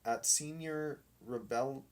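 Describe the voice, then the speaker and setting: A man reading a children's story aloud in a deliberate, dramatic manner. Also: mid-range voice, darkish tone. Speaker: storybook reader.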